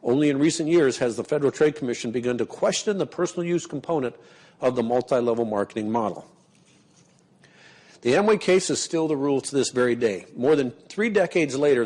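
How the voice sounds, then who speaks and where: A man with a mid-range voice hosts a seminar and reads aloud in a steady, measured way. He then introduces the next speaker. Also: mid-range voice. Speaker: seminar host.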